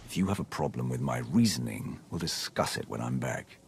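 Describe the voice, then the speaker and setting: A man playing a stern, authoritative Sith warrior commander in a video game cutscene, with a deep gravelly voice. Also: lively intonation, fairly quick, slight background noise, full character voice.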